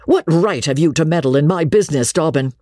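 A man voicing a hot-headed, haughty young army officer in a storybook reading, with old-fashioned gentlemanly speech. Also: mid-range voice, very animated, a little slow, slightly rough, no room sound, clean studio sound, full character voice. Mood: indignant.

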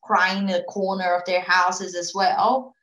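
A woman's voice: fairly low pitch, level tone, unhurried, fairly bright tone, echoey room. A woman who runs a PR agency, an immigrant whose first language is not English, answering interview questions earnestly with many fillers and restarts. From immigrant to Australia. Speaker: PR agency owner, guest.

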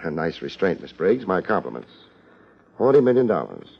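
Wry american private eye, low voice, old 50s radio drama, dull hissy sound. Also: male voice, very animated, vocal fry, slightly rough, heavily acted. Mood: wry, laid-back. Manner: dry, wisecracking, unhurried. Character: laid-back, wisecracking male private investigator, tough and wry. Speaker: lead actor and first-person narrator. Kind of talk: 1950s radio detective drama acting.

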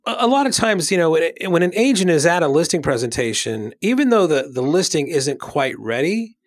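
A man's voice: a little high, very animated, fairly quick, dry recording. Casual male podcast co-host, dry close sound. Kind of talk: casual conversational podcast chat.

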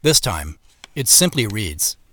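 An actor playing the uneasy first-person narrator of a mystery audio drama, telling it in measured, suspenseful phrases. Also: male voice, on the low side, very animated, a bit bright, dry recording, heavily acted.

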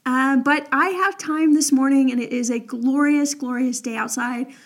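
Young woman podcast host, kinda high voice, crackly morning voice, chatty with lots of like and all right. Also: moderate pace. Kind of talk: casual advice podcast.

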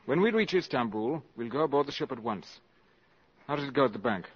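An actor playing a smooth, cunning valet with polite, measured, formal speech in a 1950s radio drama. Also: male voice, mid-range voice, lively intonation. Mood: cool, commanding. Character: smooth, cunning valet, deferential yet secretly arrogant. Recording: muffled 1950s radio broadcast.

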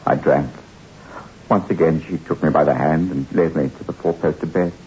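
A man's voice: extremely low pitch, steady tone, moderate pace, darkish tone. A man playing a haunted, distraught castaway recounting his ordeal in an old radio horror play, intense and theatrical. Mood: weary, haunted. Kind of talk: 1960s radio horror acting and narration. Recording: muffled old radio with faint noise.

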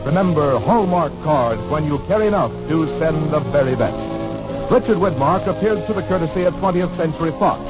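A man announcing in polished, scripted 1940s radio style, pitching a sponsor's product. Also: animated, brisk. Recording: muffled 1940s radio recording, faint music.

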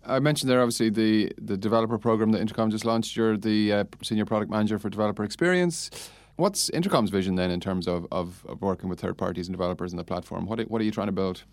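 A man's voice: lowish voice, quick pace, no echo. A man hosting a podcast interview, putting questions in a conversational way.

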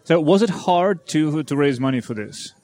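A man interviewing a founder, asking questions in a hesitant way with frequent pauses and restarts. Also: on the high side, animated, darkish tone. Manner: hesitant, many fillers and restarts.

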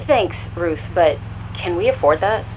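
An actress playing a loyal, blunt younger employee in an audio drama, talking casually and sassily on a call. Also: female voice, lowish voice, expressive, unhurried, noisy background. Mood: baffled, concerned. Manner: casual, sassy. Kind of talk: audio drama phone dialogue.

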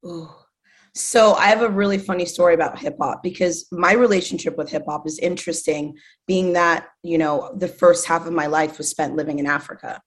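A woman's voice: on the low side, brisk. A woman in her thirties from Zimbabwe, a guest speaking casually and enthusiastically, with many fillers. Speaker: guest, artist manager. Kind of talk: casual interview conversation.

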